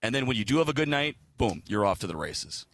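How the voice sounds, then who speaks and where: A man's voice: medium pitch, big pitch swings, fast talker, fairly bright tone. A man talking as a guest sports broadcaster, explaining hockey analysis in a casual, conversational way. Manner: explanatory, casual, checks in with "right?".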